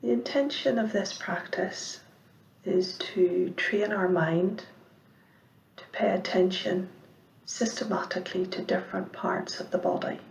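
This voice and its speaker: A woman giving guided meditation instruction as a mindfulness teacher, speaking in a measured, gentle way with pauses and soft, breathy phrase endings. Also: medium pitch. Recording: roomy, echoing sound.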